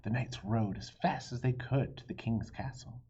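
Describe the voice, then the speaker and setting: A man reading a storybook aloud for children in an expressive teacherly way. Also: medium pitch, husky, dull audio. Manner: animated, pauses to quiz listeners.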